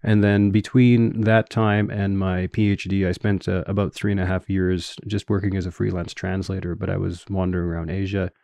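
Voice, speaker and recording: Deep-voiced Canadian man explaining measuredly. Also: level tone, gravelly, dark tone, dry recording. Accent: Canadian English. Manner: measured, explanatory, with frequent fillers. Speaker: guest scholar. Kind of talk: academic interview answers.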